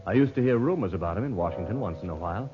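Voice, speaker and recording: An actor playing an affable, apologetic gentleman reporter, courteous and easily flustered, in a 1940s radio drama. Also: lowish voice, animated, very fast, natural voice. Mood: awkward, chatty. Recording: dull old radio recording, faint music.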